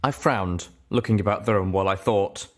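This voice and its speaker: A man reading a story aloud as an expressive first-person narrator. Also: fairly low pitch, a little fast. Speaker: audiobook reader.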